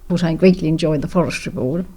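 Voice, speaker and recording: A woman's voice: low-pitched, fast, mellow. An older woman, a former politician, reminiscing in an interview in an effusive, emphatic, well-spoken way.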